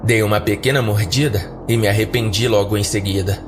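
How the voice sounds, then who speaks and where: A man's voice: lowish voice, fairly even intonation, a little fast. A man with a Brazilian accent narrating a scripted first-person horror story as a podcast narrator. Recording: background music under narration.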